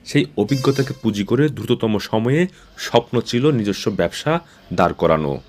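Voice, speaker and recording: Lowish-voiced man narrating a news feature. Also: expressive, moderate pace. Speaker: news reporter.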